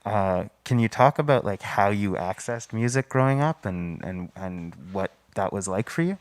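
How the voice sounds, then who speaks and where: Guy hosting a q&a, low voice, kinda hesitant, friendly questions. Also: lively intonation, moderate pace, dry recording. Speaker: festival host and Q&A moderator. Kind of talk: moderating a live Q&A.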